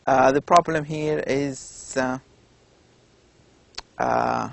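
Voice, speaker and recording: A man with an Arabic accent giving a recorded university lecture, explaining slowly with many pauses and fillers. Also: mid-range voice, steady tone, creaky, a little hiss or hum. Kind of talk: recorded lecture over slides.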